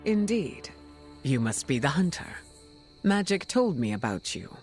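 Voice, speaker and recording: A woman playing a regal, gracious mutant heroine in a video game, speaking formally and with measured poise. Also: deep voice, very animated, darkish tone, soft background music. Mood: warm, courteous. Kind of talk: video game cutscene acting.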